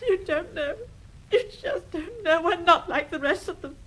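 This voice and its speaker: A distraught wife in a radio play. Her voice is very high and close to tears. She stammers and pleads breathlessly. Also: expressive, moderate pace, fairly bright tone. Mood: tearful pleading. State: tearful, close to crying. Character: distraught wife begging help for her husband. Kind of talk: radio drama acting.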